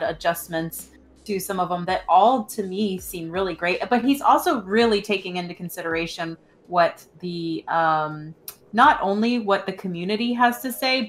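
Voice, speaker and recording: A woman in her thirties co-hosting a casual gaming news chat, informal and enthusiastic. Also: on the low side. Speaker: co-host. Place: indoor room at home.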